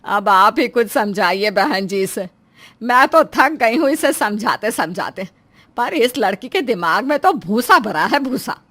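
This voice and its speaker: A woman's voice: fairly high pitch, expressive, measured pace, bright, forceful tone, heavily acted. A woman voicing a proud middle-aged mother-in-law in a cartoon story, dramatic, long-suffering and given to scolding. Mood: scolding, mortified. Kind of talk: Hindi moral story cartoon dialogue.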